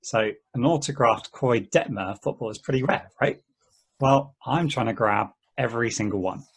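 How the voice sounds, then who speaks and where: A man playing an easygoing game player in an amateur table read, talking in a halting, conversational way. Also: mid-range voice, breathy edge. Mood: casual, explaining. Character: easygoing, rambling male player of an endless treasure-hunt game.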